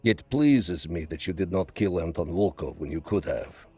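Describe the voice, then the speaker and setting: An actor playing a cold, calculating Soviet spymaster with a measured, menacing delivery in a video game cutscene. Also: male voice, sits low, expressive, a little mellow, husky, faint music behind. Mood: cold, businesslike. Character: cold, calculating Soviet spymaster, a man who plots patiently.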